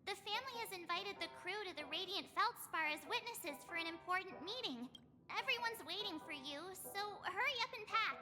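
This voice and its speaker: Very high-pitched chirpy little game companion. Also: female voice, animated, a little fast, big-room sound. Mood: explaining briskly. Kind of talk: video game voice acting. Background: game music.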